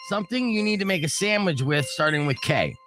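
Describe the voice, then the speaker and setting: A man with a deep voice hosting a live radio show, joking and poking fun at himself. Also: a little fast, over music. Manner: joking, self-mocking, lively. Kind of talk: casual radio banter. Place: radio studio. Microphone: studio microphone.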